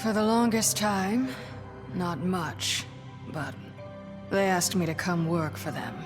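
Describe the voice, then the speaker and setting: A woman's voice: lowish voice, slow pace. An actress playing a bitter, accusing witch in a video game, pointed and resentful. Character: bitter, accusing witch defending her livelihood. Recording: reverberant hall sound under music.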